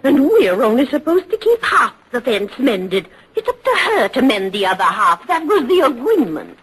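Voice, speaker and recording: An actress playing an aggrieved country widow testifying earnestly and deferentially in an old radio drama. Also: female voice, fairly high pitch, very animated, normal speed, a bit bright, breathy edge, full character voice, low-quality recording.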